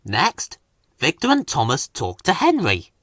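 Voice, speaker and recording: A man reads a children's storybook aloud in a dry, close recording. He takes his time, and his pitch rises and falls very widely to dramatise the tale. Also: wildly swooping pitch, unhurried, fairly bright tone, no echo. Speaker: story narrator. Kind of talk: expressive children's storybook reading.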